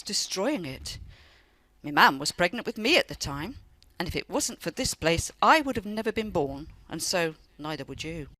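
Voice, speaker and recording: A woman's voice: fairly low pitch, a bit bright, no echo, rough recording. A woman, unhurriedly telling family memories. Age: older. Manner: unhurried, reminiscing. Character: reflective elderly grandmother recalling wartime family history. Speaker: amateur radio play actor. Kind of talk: radio play storytelling.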